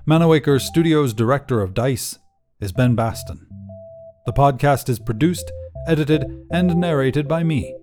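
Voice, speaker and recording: A man's voice: medium pitch, unhurried, music in the background. A man reading scripted credits as a podcast's narrator and producer.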